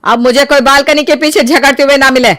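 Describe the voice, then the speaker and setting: A woman's voice: high-pitched, fairly even intonation, fairly quick, a bit bright, no room sound, studio quality, voice pushed up. A woman voicing an exasperated older mother-in-law who scolds wearily and with authority in a cartoon family story. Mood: scolding. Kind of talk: animated family story dialogue.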